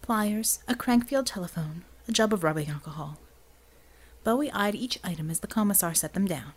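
A woman's voice: mid-range voice, lively intonation, moderate pace, husky. A woman reading scripted, dramatic narration as an audiobook narrator.

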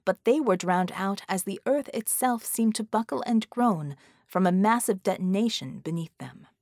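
A woman's voice: mid-range voice, expressive, normal speed, darkish tone, no room sound, studio quality. A woman reading an action story as an audiobook narrator, in a dramatic scripted style.

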